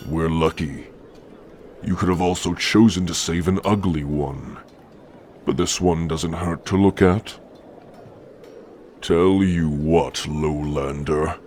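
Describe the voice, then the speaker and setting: A man's voice: rumbling low, fairly even intonation, takes it slowly, long pauses, darkish tone, a little hiss or hum. A man voicing an older orc clan chief in an ASMR roleplay, grave and imperious, with solemn, formal speech.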